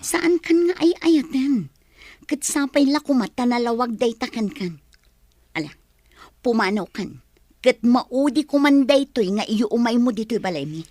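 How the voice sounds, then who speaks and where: A young Filipino woman in a radio drama, firm but wounded. Her voice sits fairly high and sounds dry and close. She delivers her lines with open emotion. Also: animated, measured pace, darkish tone, dry recording. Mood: upset, rejecting.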